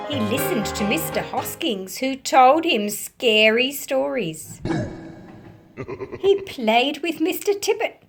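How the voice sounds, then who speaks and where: Expressive Australian woman reading to children. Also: high-pitched. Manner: explanatory, asks listeners simple questions, measured phrasing. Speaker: children's read-aloud host. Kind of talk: read-aloud storytelling for children.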